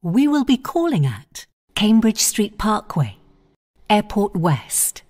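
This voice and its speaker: A woman giving automated onboard train announcements in measured, clipped phrases, naming each station in turn. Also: medium pitch, very animated, a little mellow.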